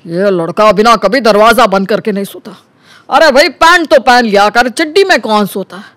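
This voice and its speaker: A man playing a cunning, smooth-talking young schemer in an animated story, with a colloquial, teasing way of talking. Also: sits high, normal speed, no room sound, studio quality. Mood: smooth, ingratiating. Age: young adult. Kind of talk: Hindi animated story dialogue.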